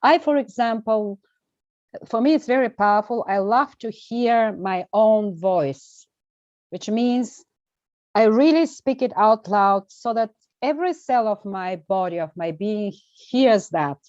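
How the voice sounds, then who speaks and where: A woman with a Bulgarian accent, a guest coach answering in an interview, speaking earnestly and deliberately with emphatic pauses. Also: mid-range voice, animated, darkish tone, dry recording, dull audio. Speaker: guest, well-being coach. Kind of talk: interview conversation.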